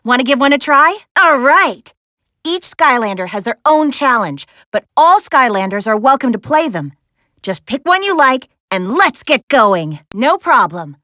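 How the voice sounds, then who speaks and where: A woman voicing a spirited, capable young woman adventurer in an animated video game, with an American accent and a wry, sassy, instructive way of talking. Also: high voice, unhurried, no room sound, studio quality, full character voice. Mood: encouraging. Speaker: video game character voice actress.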